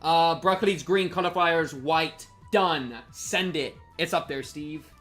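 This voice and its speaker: A man's voice: on the high side, unhurried, a bit bright. A young man giving casual, slangy, goofy commentary on a game and reading it aloud. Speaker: game streamer. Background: game music.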